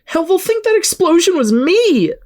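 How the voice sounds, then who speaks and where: A woman playing a rattled, excitable teenage boy with superpowers in an audio drama, speaking emotionally in casual slang. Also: very high-pitched, moderate pace. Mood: weary, confiding.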